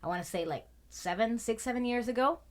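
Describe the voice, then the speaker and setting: A thirty-year-old woman from Puerto Rico, a guest in a casual interview, loud and talkative. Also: fairly high pitch, quick pace. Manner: loud, talkative, swears and laughs often.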